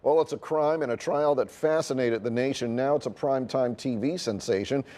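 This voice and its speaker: A man reads television news in an American accent. His pitch is in the middle range, and the delivery is that of a scripted anchor. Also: brisk, warm tone.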